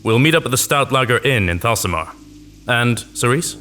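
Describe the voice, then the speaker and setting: A man's voice: medium pitch, animated, normal speed, pushed and bright. A man playing a noble, composed knight commander in a fantasy audio drama, formal and reserved. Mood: calm, assured. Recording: voice over background music.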